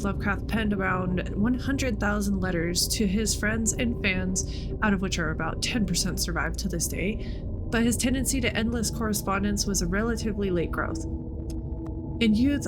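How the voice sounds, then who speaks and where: A woman's voice: medium pitch, very noisy. A woman hosting a gaming channel, giving casual commentary and reading on-screen text aloud as she plays. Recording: loud music behind the voice. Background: music.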